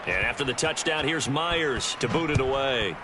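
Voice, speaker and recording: A man's voice: mid-range voice, lively intonation, moderate pace. A man calling American football play-by-play in a video game, with clipped, polished broadcast phrasing. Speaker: play-by-play sports announcer. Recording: loud stadium crowd noise throughout. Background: crowd and game ambience.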